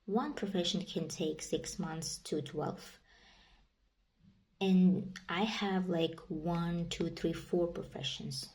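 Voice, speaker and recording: Woman, Kazakh accent, native Kazakh speaker, deep for a woman, casual vlog chat, rambling, repetitive fillers. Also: fairly even intonation, slow, a little mellow, low-quality recording. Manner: rambling and repetitive, many "you know" and "like" fillers. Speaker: vlogger.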